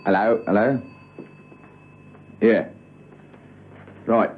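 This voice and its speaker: An actor playing a gruff-mannered henchman in a spy gang, in radio drama style. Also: fairly low pitch, steady tone, extremely slow. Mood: businesslike. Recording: noisy, muffled old radio recording.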